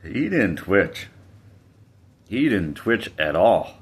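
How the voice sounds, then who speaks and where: A man's voice: deep voice, sing-song, slow pace, slightly rough. A man casually telling a personal story, hesitant, with fillers and restarts.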